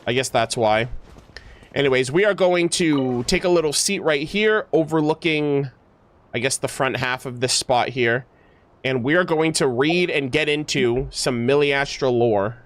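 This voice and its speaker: A man giving casual livestream commentary, rambling and halting as he thinks aloud. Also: mid-range voice. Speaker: streamer. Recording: music playing behind voice. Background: music.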